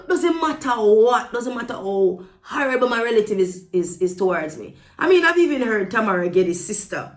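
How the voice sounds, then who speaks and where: A woman's voice: sits high, echoey room. A woman giving casual opinion commentary to viewers, speaking emphatically and repeating phrases for stress. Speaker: vlogger.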